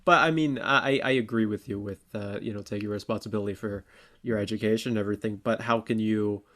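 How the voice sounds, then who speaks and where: A man with a fairly low voice chats as a co-host on a talk show. He speaks in a casual, colloquial way, discussing and arguing points informally. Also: fairly even intonation, fast talker, a bit bright.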